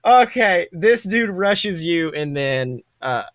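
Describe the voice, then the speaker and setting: A man's voice: high voice, animated, takes it slowly, no echo. A young man casually narrating a tabletop game as game master, playful, exclamatory and sweary. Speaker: game master and podcast host.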